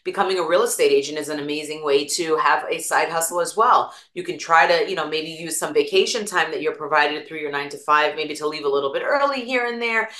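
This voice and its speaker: A woman's voice: low voice, quick pace, few pauses, a bit bright, reverberant. A woman with an East Coast American accent, a guest on an interview show, talking casually and telling stories with lots of examples. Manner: chatty, storytelling with examples. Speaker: guest, attorney and real estate broker.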